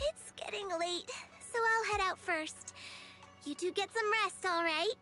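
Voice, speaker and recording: Casting: gentle, coy young anime game heroine, very high bright voice, unhurried, music underneath. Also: female voice, full character voice. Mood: warm, relieved. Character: gentle, teasing, slightly flustered young woman in anime game.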